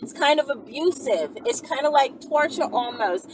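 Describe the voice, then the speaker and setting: A woman's voice: sits high, lively intonation, moderate pace. A woman talking casually and off the cuff to her viewers, emphatic and often checking that they agree. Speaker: video channel host answering viewer questions. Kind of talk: casual unscripted talk to viewers. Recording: distant mic, clear background noise.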